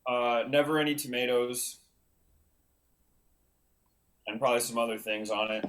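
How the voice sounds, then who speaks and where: A man's voice: mid-range voice, steady tone, quick pace, a bit bright. Hesitant young man, casual swearing. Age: 20s. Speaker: band member guest. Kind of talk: casual chat.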